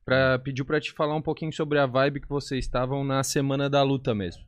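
A man's voice: medium pitch, fairly even intonation, a little fast, no room sound. A man co-hosting a podcast, chatting casually and filling his sentences with "you know" and "like". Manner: chatty, uses fillers like "tipo" and "sabe". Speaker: podcast co-host. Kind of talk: casual chat.

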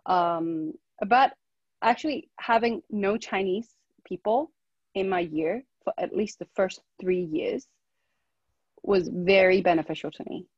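A woman's voice: medium pitch, measured pace, breathy edge, no room sound, lo-fi sound. A woman from Hong Kong talking casually as an interview guest, telling her own story.

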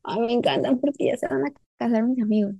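A woman's voice: a little high, fast talker, creaky, warm tone, no echo, studio quality. A woman hosting a casual conversation, prompting guests and reminiscing with them. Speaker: host.

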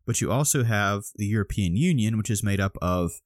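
A man's voice: fairly low pitch, lively intonation, brisk, no echo, clean studio sound. A man co-hosting a podcast, explaining a topic step by step in casual chat, a little groggy. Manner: explains step by step with many restarts. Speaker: podcast co-host.

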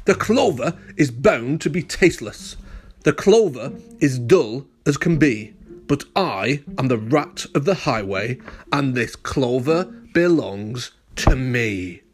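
A man voicing a greedy, boastful rat highwayman from a picture book who bellows his demands. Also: expressive, slow. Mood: boastful bellowing.

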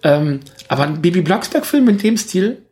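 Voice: medium pitch, big pitch swings, normal speed, darkish tone. A podcast co-host, chatting casually about a film in a rambling way, thinking aloud. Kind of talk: casual film review chat.

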